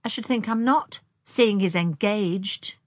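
Woman playing a curt, husky elder sister. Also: mid-range voice, slow pace, no room sound, clean studio sound, pitched above the performer's own voice. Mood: sharp. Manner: snappish, terse. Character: tense, unhappy elder sister, sharp and curt. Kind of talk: audiobook character dialogue.

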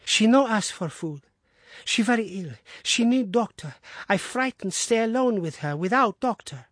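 A man's voice: high voice, animated, normal speed, a bit bright, no echo, clean studio sound, voice pushed up. A man voicing a young foreign maid who is frightened and indignant, speaking broken English in short clipped phrases.

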